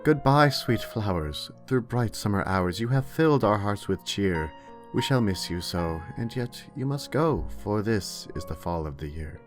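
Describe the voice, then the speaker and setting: A man reciting poetry expressively, with a gentle, measured delivery. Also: fairly low pitch, expressive. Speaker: poetry reader. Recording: music under the voice.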